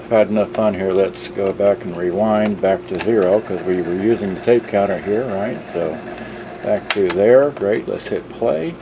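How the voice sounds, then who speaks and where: Low-voiced man rambling over background noise. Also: moderate pace. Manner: rambling, offhand, thinking aloud. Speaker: gadget reviewer. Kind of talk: casual hands-on product demonstration. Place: indoors at a table, handling devices. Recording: noisy room with steady background hum.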